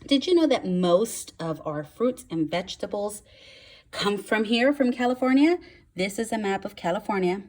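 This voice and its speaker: A woman with a medium-pitched voice speaks as a first-grade teacher. She explains things to young children in simple words, stressing the key ones, in the style of a read-aloud lesson. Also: lively intonation, normal speed, darkish tone.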